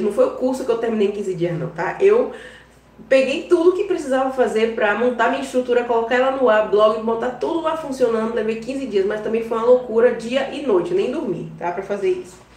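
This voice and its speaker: A woman with a Bahian accent talks straight to her viewers in a chatty, reassuring way and keeps checking in with them as she goes. Her voice sits fairly high. It comes from a step back off the mic and bounces around an echoey room. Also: a little mellow, far from the mic. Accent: Bahian Portuguese. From Brazil. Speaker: online business vlogger. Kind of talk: casual advice talk to viewers.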